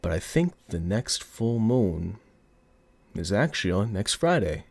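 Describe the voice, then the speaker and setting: Low-voiced, cocky flirty mafia boss played by a man. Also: sing-song, creaky, darkish tone, no room sound, full character voice. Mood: coy, playful. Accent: put-on mafia gangster accent. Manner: teasing, taunting, drawn-out seductive delivery. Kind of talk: ASMR audio roleplay acting.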